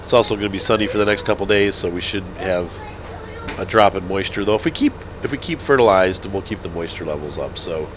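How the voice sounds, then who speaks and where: A man's voice: low voice, fast talker, background noise. Stuffy-nosed man with a head cold. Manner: rambling, self-correcting, chuckling. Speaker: gaming channel host. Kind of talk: casual let's-play commentary.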